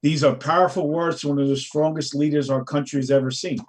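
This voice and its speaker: A man's voice: fairly high pitch, steady tone, brisk, a little mellow. A middle-aged man moderating a panel, talking conversationally and telling stories. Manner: conversational, storytelling with fillers. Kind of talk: panel discussion.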